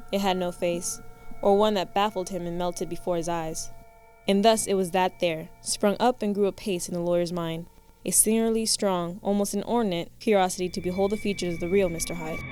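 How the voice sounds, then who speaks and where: A woman's voice: mid-range voice, steady tone, brisk, darkish tone, soft background music. A woman reading literary narration for a radio play in a formal storytelling manner.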